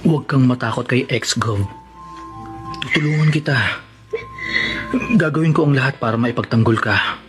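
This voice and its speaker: A man's voice: mid-range voice, moderate pace, pauses often, lightly acted. Actor as worried, devoted male friend, music behind. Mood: protective, earnest. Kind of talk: Tagalog radio drama acting and narration. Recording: music under the voice. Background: dramatic background music.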